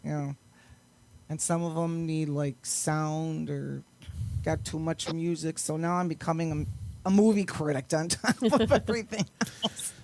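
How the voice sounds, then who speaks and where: Gravelly higher-pitched man chatting. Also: fairly even intonation, measured pace, darkish tone, a little hiss or hum. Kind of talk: casual chat.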